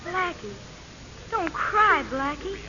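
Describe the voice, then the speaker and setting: An actor playing a gentle, loyal, bereaved young boy in a 1940s radio drama. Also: very high-pitched, lively intonation, slow pace, over music, exaggerated voice. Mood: sad, consoling. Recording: dull vintage radio recording.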